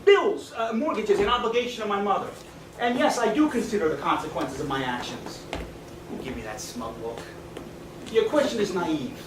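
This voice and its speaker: An actor playing a weary, regretful middle-aged man in a live stage play, speaking in a reflective, halting, defensive way. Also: high-pitched, lively intonation. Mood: defensive, exasperated. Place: small theatre stage, indoors. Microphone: far camera. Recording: noisy, echoey theatre stage from far off.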